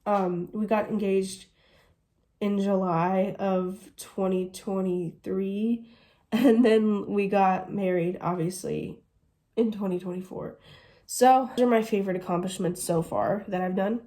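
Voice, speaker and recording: A woman's voice: medium pitch, fairly even intonation, unhurried, warm tone. A woman in her twenties from the United States, chatting casually through a Q&A vlog and gushing as she goes. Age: 20s. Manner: chatty, gushing, says "literally" often. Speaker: vlogger.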